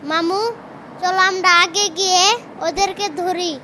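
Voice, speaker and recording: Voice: very high voice, little pitch movement, unhurried, sharp tone, slight background noise, full character voice. A performer voicing a cheeky, eager, food-loving little child in a cartoon. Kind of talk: animated cartoon voice acting.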